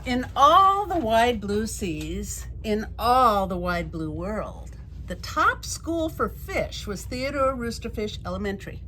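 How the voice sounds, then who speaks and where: A woman reading a children's picture book aloud as a storyteller, with an expressive, deliberate delivery. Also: mid-range voice, a little hiss or hum, poor audio.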